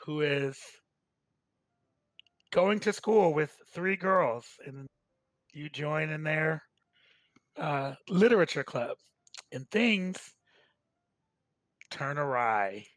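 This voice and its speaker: Slow-talking man with a higher-pitched voice. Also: lively intonation, dry recording. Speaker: host of a staged game reading. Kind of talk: casual commentary and introductions.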